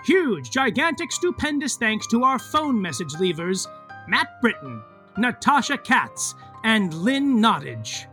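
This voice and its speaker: A man's voice: high-pitched, sharp tone, full character voice. A man playing a mock-grandiose, tongue-in-cheek narrator of an audio soap opera, delivering scripted narration theatrically. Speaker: narrator reading recap and credits.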